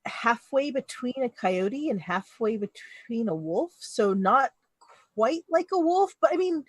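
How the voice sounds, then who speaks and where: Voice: mid-range voice, animated, measured pace, no room sound. A player in a tabletop game, talking casually with playful asides. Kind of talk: casual actual-play chat.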